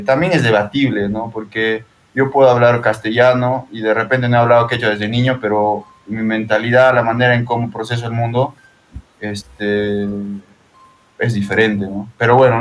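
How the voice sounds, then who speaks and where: A man with a Peruvian accent talking casually and reflectively as an interview guest, often checking for agreement. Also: fairly low pitch. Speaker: interview guest, poet and translator.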